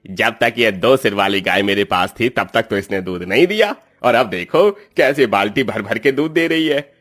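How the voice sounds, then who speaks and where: A man's voice: lowish voice, animated, normal speed, fairly bright tone, soft background music. A man voicing a greedy, cruel middle-aged moneylender in a cartoon story, with a harsh, scolding, scheming way of talking.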